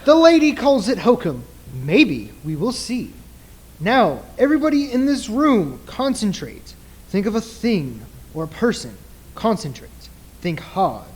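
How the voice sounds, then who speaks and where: A man playing a commanding stage mind reader in a radio play, theatrical, deliberate and insistent. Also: sits high, big pitch swings, slight background noise. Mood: showy.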